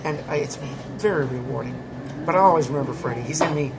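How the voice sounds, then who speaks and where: A man's voice: on the high side, fast, creaky, over loud music, rough recording. A man with an American accent, a touring musician chatting candidly in an interview and laughing often. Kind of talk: casual interview chat.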